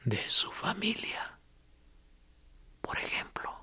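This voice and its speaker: An actor playing a tortured male prisoner in a radio drama, speaking in a hoarse whisper with morbid sarcasm. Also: slow, pushed and bright. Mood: eerie calm.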